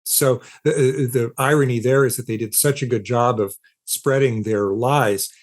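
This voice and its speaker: A man speaking as an expert guest in an interview, explaining emphatically with halting, repeated starts to his sentences. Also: mid-range voice, fairly even intonation. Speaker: interview guest, philosopher of science and author.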